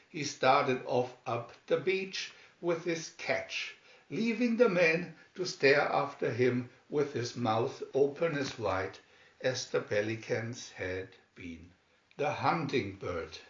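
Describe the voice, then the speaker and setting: A man reading a children's story aloud in a measured, expressive, scripted narration. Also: medium pitch, reverberant, dull audio. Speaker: storybook reader.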